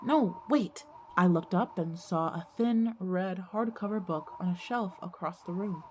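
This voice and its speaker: A woman's voice: on the low side, a little slow, slight background noise, close to an everyday voice. A woman playing an earnest teenage schoolgirl who narrates her own ghost story in an audio drama. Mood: urgent, flustered. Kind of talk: scripted first-person narration and audio drama dialogue.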